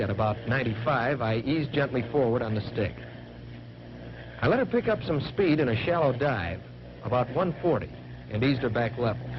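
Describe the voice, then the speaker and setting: Man acting as young American fighter pilot, 1940s training film narration, plain-spoken, dull soundtrack, loud noise and drone. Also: medium pitch, close to an everyday voice. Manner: plain-spoken, folksy first-person recounting.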